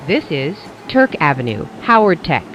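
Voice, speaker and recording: A train announcer reading scripted automated station announcements in a measured, formulaic way. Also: mid-range voice, very animated, a bit bright, a little hiss or hum, poor audio.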